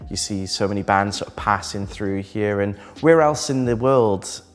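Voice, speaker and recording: A man's voice: lowish voice, moderate pace, big-room sound. A man being interviewed inside a music venue, recalling gigs with enthusiasm. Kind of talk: interview answer.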